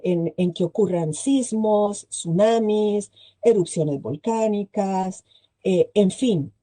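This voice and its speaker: A woman's voice: medium pitch, mellow, dry recording. A middle-aged woman with a Costa Rican accent, giving a presentation to a virtual forum, hesitating often with filler sounds. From Chile. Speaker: guest speaker.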